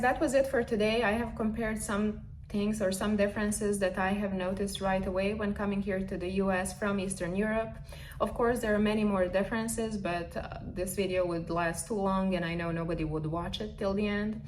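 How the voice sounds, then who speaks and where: A woman's voice: medium pitch, moderate pace, darkish tone. A woman with a Serbian accent talks straight to her viewers in a chatty, earnest vlog. Her English is fluent but has small non-native turns of phrase. A faint hiss sits under the voice. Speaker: vlogger. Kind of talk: casual vlog monologue. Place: indoors, recording in the US.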